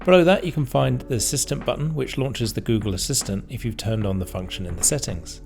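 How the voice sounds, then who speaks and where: A man reading scripted instructional narration in a measured, explanatory way, as a tutorial narrator. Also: mid-range voice, vocal fry, darkish tone. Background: background music.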